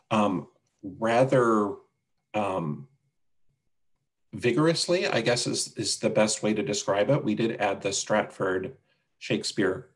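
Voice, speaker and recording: A man with a gravelly voice presenting a product demonstration and answering questions on a video call. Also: on the low side, low-quality recording. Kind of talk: webinar demonstration and Q&A. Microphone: video call microphone.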